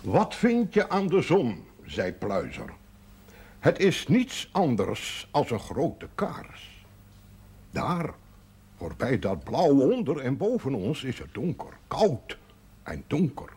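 An actor playing a gruff, stubborn, earnest rural man in a naturalistic television period drama. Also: mid-range voice, wildly swooping pitch, slow pace, darkish tone, exaggerated voice. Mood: reading aloud. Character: gruff, stubborn rural man, former poacher turned faith healer. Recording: 1970s TV audio with faint noise.

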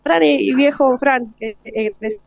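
Higher-voiced Argentine woman, dry sound. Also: unhurried, vocal fry, no room sound, lo-fi sound. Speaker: teacher. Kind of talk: informal interview talk.

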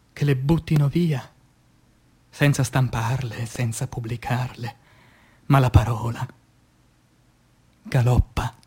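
A man reciting poetry as dramatic radio theatre, solemn and declamatory, breaking phrases with deliberate pauses. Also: on the high side, a little mellow.

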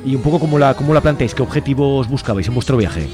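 A man's voice: mid-range voice, lively intonation, quick pace, over music. A man hosting a radio interview, often restarting words and adding tag questions. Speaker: radio host.

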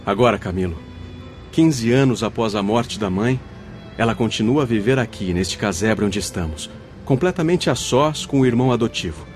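An actor playing a grave, benevolent spirit guide, explaining solemnly in a radio soap with a Brazilian accent. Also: male voice, fairly low pitch, animated, normal speed, darkish tone, faint music behind, lightly acted. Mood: solemn, compassionate. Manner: solemn, didactic. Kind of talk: radio soap opera acting.

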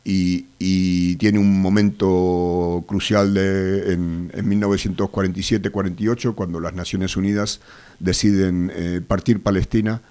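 Low-voiced Argentine man with a cold. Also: little pitch movement, dark tone. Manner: measured, hesitant, many fillers. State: has a cold. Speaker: activist guest. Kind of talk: radio interview. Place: radio studio.

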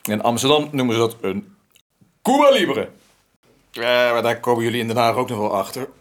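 An actor playing a provocative rebel son of a grand family, declamatory and mocking, in a radio drama. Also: male voice, mid-range voice, animated, moderate pace, lightly acted. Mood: exuberant.

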